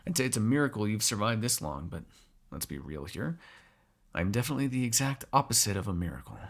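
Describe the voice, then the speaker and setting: A man voicing a teasing, sarcastic vampire with a gravelly, husky voice in an ASMR roleplay. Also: on the low side, big pitch swings, quick pace. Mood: quiet, musing. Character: snarky, teasing male vampire captive, predatory yet fond. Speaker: voice actor in audio roleplay.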